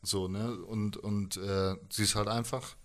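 A middle-aged man telling a personal story as an interview guest, thoughtful and halting, in colloquial words. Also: lowish voice, level tone, a bit bright, a little hiss or hum. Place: indoors, living room with instruments. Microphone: handheld microphone held close.

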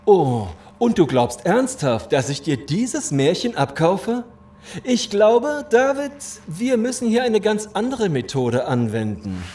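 A sneering, high-pitched gang leader. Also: male voice, very animated, slow pace, darkish tone, exaggerated voice. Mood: threatening. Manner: sneering, mock-courteous threats. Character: menacing, condescending male leader of survivor gang. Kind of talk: German audio drama acting.

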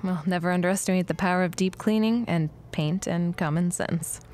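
A woman's voice: on the low side, a little fast, darkish tone, natural voice. A woman playing a dry, sensible young schoolteacher and artist in a period audio drama, wry and matter-of-fact.

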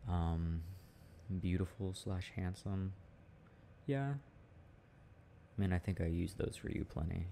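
A man playing a teasing, easygoing boyfriend in a casual, intimate ASMR roleplay, talking in a halting, musing way. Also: low voice, flat delivery, vocal fry, mellow, slight background noise, full character voice. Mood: musing, amused. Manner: halting, musing, chuckling delivery. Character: teasing, easygoing boyfriend chatting with his partner.

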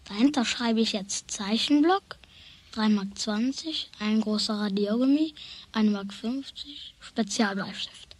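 Husky, worried schoolboy. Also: female voice, fairly high pitch, measured pace. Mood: relieved scheming. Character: lovestruck, worried schoolboy in money trouble. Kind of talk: children's radio play acting.

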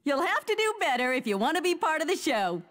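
A woman's voice: high voice, very animated, quick pace, fairly bright tone, big-room sound. An actress playing a fierce female fighter who taunts opponents in haughty, theatrical battle lines for a video game. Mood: proud, declamatory. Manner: haughty, theatrical, clipped declarations.

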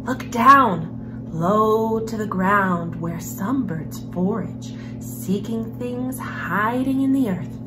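A young woman hosting a children's story time, reading a picture book aloud in an expressive storyteller's manner. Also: mid-range voice, slow, poor audio. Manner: expressive, animated storyteller, with hushed passages. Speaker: host of a children's read-aloud series. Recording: music behind the voice. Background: background music.

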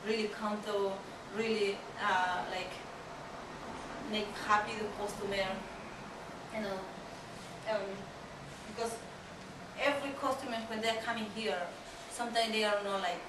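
Echoey, distant young Peruvian woman, halting English. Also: medium pitch, fairly even intonation. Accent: Peruvian Spanish. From Peru. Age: young adult. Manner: halting, searching for words in non-native English. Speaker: exchange trainee giving a talk. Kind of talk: informal presentation to colleagues. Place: small meeting room with seated audience. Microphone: far camera microphone. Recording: distant, very echoey room, clear background noise.

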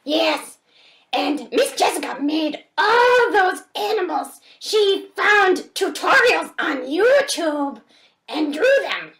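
Very high-pitched, flustered dinosaur. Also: female voice, big pitch swings, slow, gravelly, fairly bright tone, exaggerated voice. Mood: pleased. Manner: exclamatory, hesitant, theatrical. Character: shiny dinosaur puppet, polite, flustered, generous host at dinner. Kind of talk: children's puppet show with singing. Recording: roomy home recording with background noise.

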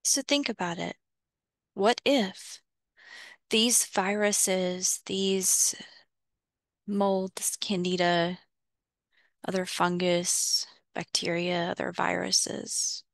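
A woman guides a visualization in short, measured phrases. Her delivery is very slow and a little low, with a creaky fry at the edges. The sound is dry, without room echo, and she leaves space between instructions. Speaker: guided meditation host.

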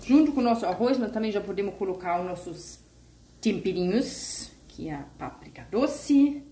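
A woman's voice: medium pitch, lively intonation, moderate pace, mellow, roomy sound, slight background noise. A woman talking through a home cooking recipe step by step, chatty and homey, from her kitchen. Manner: chatty, homey, uses diminutives and fillers. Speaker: vegan cooking channel host. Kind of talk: casual step-by-step cooking tutorial.

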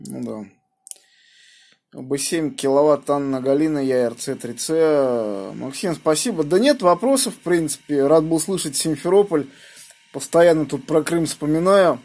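A man from the region, chatting casually as an amateur radio operator in an unhurried, rambling way. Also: fairly high pitch, expressive, a little mellow. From Moscow region, Russia. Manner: unhurried, rambling, spelling out callsigns phonetically. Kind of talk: casual ham radio contact.

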